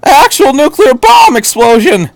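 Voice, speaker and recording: A man voicing an overwhelmed, incredulous teenage boy pilot in a story reading, stammering in shrill disbelief. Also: very animated, normal speed, gravelly, no room sound, heavily acted. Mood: shocked, incredulous.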